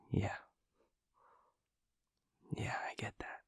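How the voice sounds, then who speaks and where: A man acting a young guy in an intimate audio roleplay, with a low, gravelly, husky voice and casual, sweary, naturalistic delivery. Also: fairly bright tone, no room sound. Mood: hesitant, tender. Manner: casual, sweary, halting, slangy banter.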